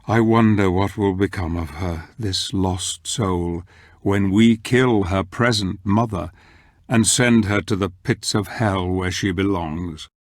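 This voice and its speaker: Slow, brooding gothic storyteller. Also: male voice, low voice, dry recording, studio quality. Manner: measured, theatrical, ruminative. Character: grave, wistful storyteller of a dark gothic tale. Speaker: narrator. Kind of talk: scripted dramatic narration.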